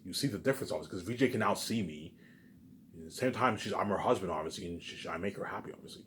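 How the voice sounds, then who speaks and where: A man giving a rambling, unscripted, repetitive monologue to viewers, emphatic, with loud bursts that drop into muttered asides. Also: low voice, fast, slight background noise. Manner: repetitive, circling back to same phrases. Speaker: online video maker.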